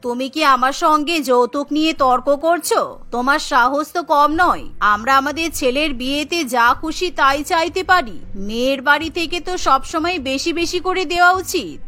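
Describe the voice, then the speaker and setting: A woman's voice: high-pitched, normal speed, sharp tone, voice pushed down. A woman voicing an angry, haughty older mother-in-law in a cartoon, scolding and indignant. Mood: outraged.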